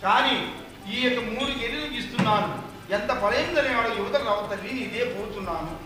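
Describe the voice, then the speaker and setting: A man's voice: extremely high pitch, brisk, far from the mic. A man playing a noble, respectful prince with a deferential, formal delivery in folk puppet theatre. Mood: respectful, reassuring. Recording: very echoey, loud music behind.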